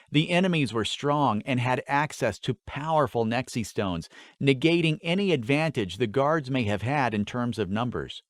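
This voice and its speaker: A man narrates an epic fantasy audiobook in an earnest, dramatic way. His mid-low voice is recorded very dry, with hardly any room around it. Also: no room sound. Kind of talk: scripted fantasy story narration.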